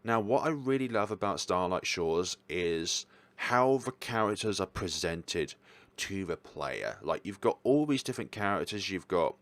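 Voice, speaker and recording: A man's voice: deep voice, lively intonation, a little slow, fairly bright tone, no room sound. A man hosting a casual, chatty ranking of games, rambling and correcting himself as he goes, with an enthusiastic, hesitant manner. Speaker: host reviewing visual novels.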